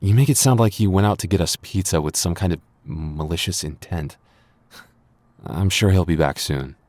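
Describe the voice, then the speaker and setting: A man's voice: low-pitched, a little mellow, dry recording. A man playing a flustered young man with a secret crush in an intimate boyfriend ASMR roleplay, stammering and getting defensive. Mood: wistful, defensive. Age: young adult.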